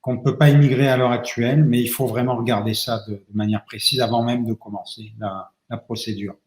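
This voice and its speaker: A man's voice: mid-range voice, steady tone, brisk, a little mellow. A man, an immigration lawyer, answers audience questions at length, with many fillers and restarts. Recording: roomy echo.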